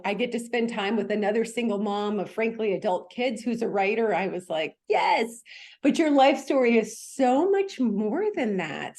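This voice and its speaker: A middle-aged woman host with a slightly high voice chats warmly and eagerly with her guest. She is quick to poke fun at her own slips. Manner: enthusiastic, chatty, self-deprecating. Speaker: podcast host. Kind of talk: casual interview chat.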